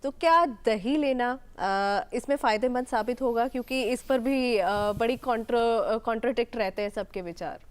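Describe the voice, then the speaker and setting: Higher-pitched woman co-hosting a health interview. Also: normal speed, few pauses. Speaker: co-host, interviewer. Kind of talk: interview questions on a health show.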